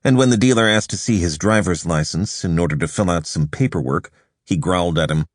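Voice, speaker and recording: A man narrating a nonfiction audiobook in a measured, even storytelling delivery, recorded close in a studio. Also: low voice, dry recording. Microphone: close studio microphone.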